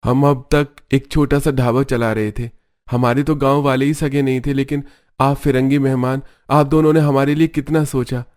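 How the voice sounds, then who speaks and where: A man's voice: mid-range voice, flat delivery, normal speed, darkish tone, natural voice. A man voicing a good-natured village husband who runs a small eatery in a cartoon story, earnest and plain-spoken. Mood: grateful.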